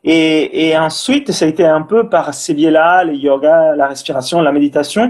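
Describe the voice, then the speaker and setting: Italian man speaking slightly high French. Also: normal speed. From Italy. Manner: hesitant, many fillers and restarts, non-native French grammar. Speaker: breathing and cold exposure instructor, guest. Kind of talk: casual interview talk.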